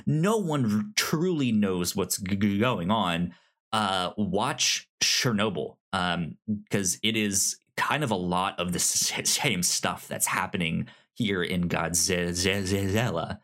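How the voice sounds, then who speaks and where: A man hosting a review podcast in a casual, halting way, often stammering over the starts of his words. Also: fairly low pitch, a bit bright. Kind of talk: casual podcast chat, giving recommendations.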